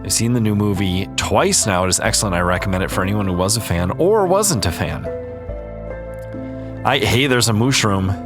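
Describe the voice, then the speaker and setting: A man hosting a relaxed gaming video, chatting calmly and reading viewer comments, a little stuffy-nosed. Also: lowish voice, normal speed, over music. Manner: calm, easygoing, chatty. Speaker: gaming channel host.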